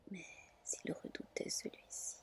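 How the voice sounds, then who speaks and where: A woman reading a tale aloud in a soft, soothing ASMR style, with deliberate phrasing. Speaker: storyteller, ASMR reader.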